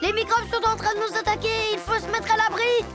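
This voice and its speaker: A woman voicing an excitable, fearful young boy hippo in a children's cartoon, anxious and dramatic. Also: extremely high pitch, brisk, loud music behind the voice. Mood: panicked. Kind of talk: animated children's cartoon acting.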